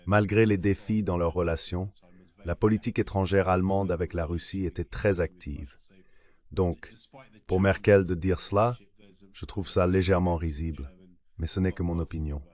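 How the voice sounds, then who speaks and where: Deep-voiced male voice-over, measured delivery. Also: fairly even intonation, darkish tone. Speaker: AI voice-over translating an interview guest. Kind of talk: dubbed translation of political analysis.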